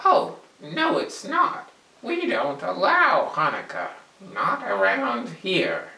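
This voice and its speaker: Tiny squeaky goblin for a kids story, cocky but jumpy, way high, echoey room. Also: wildly swooping pitch, slow, creaky, sharp tone, full character voice. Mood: cocky, scolding. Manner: stammering when scared. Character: tiny cocky male goblin, easily frightened, squeaky and blustering. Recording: echoey room, distant mic.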